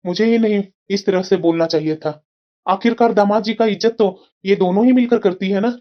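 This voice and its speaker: A woman's voice: medium pitch, muffled recording, lightly acted. A woman voicing an earnest, repentant young husband and son in a cartoon family drama. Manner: earnest, confessional.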